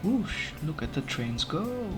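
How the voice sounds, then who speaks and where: A man whose first language is not English, casually commenting on his game as he plays, unhurried and thinking aloud, often correcting himself. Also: a little high, sing-song. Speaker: let's play gamer. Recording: game music playing behind. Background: game music.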